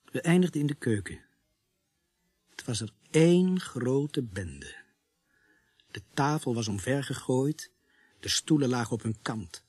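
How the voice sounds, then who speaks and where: A man playing a sombre police sergeant who narrates a radio play in a grave, deliberate, husky voice. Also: mid-range voice, lively intonation, darkish tone, dry recording, clean studio sound, faint music behind. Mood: grim, measured. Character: sombre male police sergeant recounting a grisly night.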